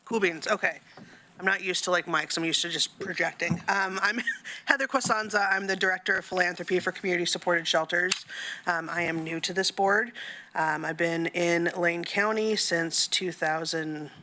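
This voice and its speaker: A woman's voice: on the low side, moderate pace, pushed and bright, a little hiss or hum, low-quality recording. A woman introducing herself to a board in a casual, chatty, self-deprecating way. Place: meeting room on a table mic.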